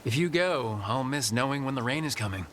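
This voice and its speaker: A man's voice: mid-range voice, animated, brisk, a bit bright, a little hiss or hum, close to an everyday voice. A male actor playing an easygoing, supportive party leader in a video game, casual and a little wry.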